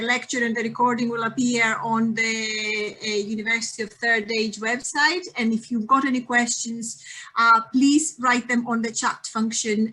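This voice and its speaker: A woman with a Greek accent gives a friendly health lecture over a video call. Her voice sits a little high, and she fills her pauses with ums and small restarts. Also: pushed and bright.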